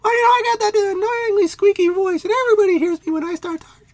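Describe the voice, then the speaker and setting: A tiny squeaky whiny creature. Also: male voice, fast talker, no room sound. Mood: chatty. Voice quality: squeaky, high and nasal. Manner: rambling surfer-dude slang, talks nonstop.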